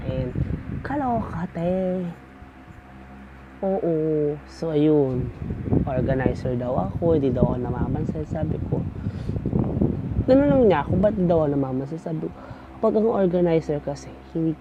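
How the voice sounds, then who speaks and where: A young Filipino man chatting casually in a storytime vlog, gossipy and dramatic in a campy way. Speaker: vlogger. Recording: noisy homemade recording with loud rumble.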